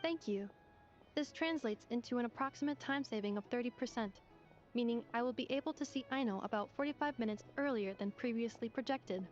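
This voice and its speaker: A woman's voice: a little high, a little fast, darkish tone, natural voice. A woman voicing a polite, literal-minded young female robot in a video game, speaking in a precise, formal manner. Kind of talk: video game character acting. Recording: muffled game audio under music.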